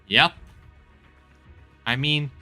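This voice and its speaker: A man's voice: medium pitch, very slow, bright, forceful tone, faint music behind. A man giving casual, informal commentary as he streams a video game. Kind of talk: casual playthrough commentary, reading and riffing on dialogue.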